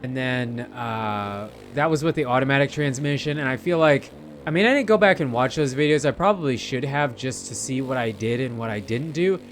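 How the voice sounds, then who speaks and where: A man's voice: mid-range voice, moderate pace, slight background noise. A man giving casual, rambling gameplay commentary, chatting informally and speaking directly to his viewers. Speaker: gamer narrating gameplay.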